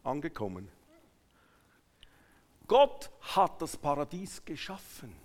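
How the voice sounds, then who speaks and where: A man with a Swiss German accent preaching a sermon to a congregation, emphatic and swinging between hushed asides and raised declarations. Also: fairly high pitch, sing-song, slow. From Switzerland. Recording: long hall reverberation.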